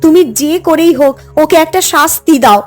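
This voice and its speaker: An actress playing a conscientious, distressed village wife in a cartoon, with a pleading, reproachful way of talking. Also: female voice, high-pitched, fairly quick, natural voice. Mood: troubled, confiding. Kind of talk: dubbed cartoon dialogue. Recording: music under the voice.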